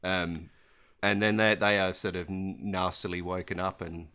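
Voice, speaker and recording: A man's voice: low voice, fairly even intonation, moderate pace, sharp tone. A man co-hosting a casual podcast, hesitant with many ums and a dry wit. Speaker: podcast co-host. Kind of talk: casual chat.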